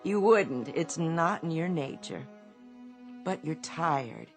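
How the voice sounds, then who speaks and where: A tender older shopkeeper's wife in a radio drama. Her voice is on the low side and moves expressively. She sounds motherly, with faint music underneath. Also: animated, takes it slowly, heavily acted. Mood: tender, proud.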